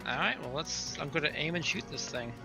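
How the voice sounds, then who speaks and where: Male player, fairly high bright voice, casual game chat, music clearly behind him. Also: animated, brisk. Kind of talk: casual tabletop game talk.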